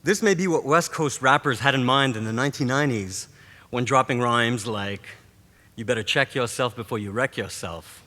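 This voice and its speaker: Brief: male professor, Montreal-raised Greek native speaker, measured rhetorical commencement speech, mid pitch, long hall reverb. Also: pushed and bright. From Montreal, Canada. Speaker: professor giving a graduation speech. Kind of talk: formal commencement address.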